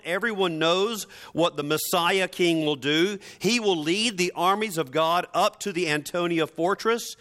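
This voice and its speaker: A man's voice: high-pitched, fairly bright tone. A man preaching a sermon in a conversational, wry manner, often posing rhetorical questions.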